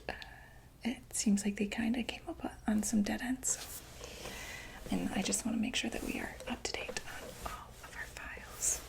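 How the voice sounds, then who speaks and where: A woman playing a calm doctor for monsters, asking gentle, patient questions in a soft unvoiced whisper for an ASMR roleplay. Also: mid-range voice, gravelly.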